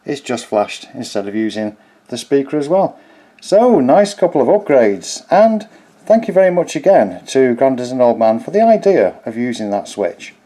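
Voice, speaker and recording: A man's voice: medium pitch, big pitch swings, moderate pace, warm tone. A man hosting a casual DIY electronics tutorial, explaining each step in a plain-spoken, informal way. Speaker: DIY electronics video host.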